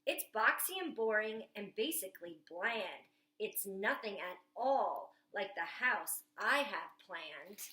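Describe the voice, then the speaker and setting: A woman's voice: mid-range voice, very animated, slow, sharp tone, full character voice. A woman reading a storybook's lines as an imaginative young boy who proudly shows off his dream house, in bouncy rhyming verse with stretched words. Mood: proud, excited. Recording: echoey room.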